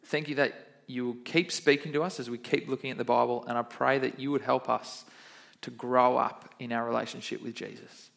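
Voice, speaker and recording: A man's voice: mid-range voice, little pitch movement, fairly quick, gravelly, a bit bright. A young man, a kids pastor, giving a chatty, upbeat children's sermon in church and quizzing the kids.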